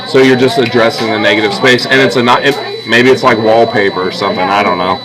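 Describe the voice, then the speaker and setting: A man's voice: medium pitch, steady tone, quick pace, a loud crowd, rough recording. A man teaching a drawing class in an informal, encouraging way, instructing students as he demonstrates. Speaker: art teacher. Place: busy school classroom. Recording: classroom recording with students chattering behind.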